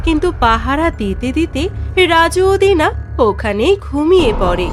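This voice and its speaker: A woman narrates a cartoon tale in a high voice with animated, swinging intonation. Music plays behind her scripted storytelling. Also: normal speed. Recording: music under the voice.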